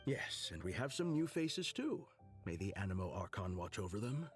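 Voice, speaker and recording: Game role: scolding, sarcastic churchman, hugely animated delivery, music clearly behind. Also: on the low side, normal speed. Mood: exasperated. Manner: sarcastic, reproachful, emphatic. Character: exasperated, scolding cardinal, grown man. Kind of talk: video game voice acting.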